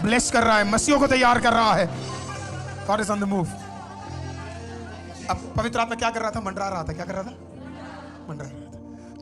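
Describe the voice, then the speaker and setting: A male pastor preaching a sermon, swinging from hushed asides to shouted exhortation. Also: high voice. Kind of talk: Hindi sermon with English phrases. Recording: sermon with music behind. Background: music.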